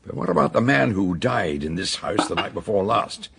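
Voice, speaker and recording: An actor playing an eccentric, blunt, aging detective in a radio drama, with a gravelly, husky British voice and theatrical, probing manner. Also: male voice, sits low, lively intonation, background noise. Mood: probing, exclamatory. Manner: theatrical exclamations, deliberate questioning.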